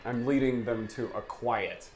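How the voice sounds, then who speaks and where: A man's voice: mid-range voice, fairly even intonation, measured pace, noisy background. A man playing a tabletop fantasy game, chatting casually and speaking for his character.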